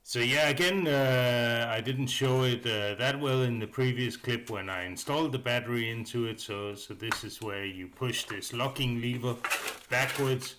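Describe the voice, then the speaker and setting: A man's voice: medium pitch, noisy background. A man giving a casual voice-over review as a hobbyist, rambling along hesitantly and often repeating words.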